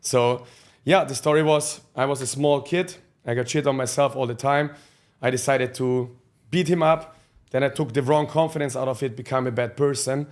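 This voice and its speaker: A man's voice: medium pitch, fairly even intonation, normal speed. A man. He is a podcast guest telling his life story candidly in blunt, profane English with loose grammar. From Austria. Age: 30s. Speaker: guest, fight coach.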